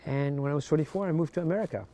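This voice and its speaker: A man in his sixties from Morocco, raised in France, telling his life story as a podcast guest in a halting way, often repeating words. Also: fairly high pitch, warm tone. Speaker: podcast guest, fashion designer. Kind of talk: casual interview storytelling.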